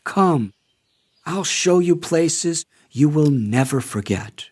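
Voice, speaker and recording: Audiobook role, performed by a male narrator: gentle, reverent wandering holy man, slow-spoken and husky. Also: on the high side, expressive. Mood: serene, devout. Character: serene, mysterious, ageless male Indian holy man, devout.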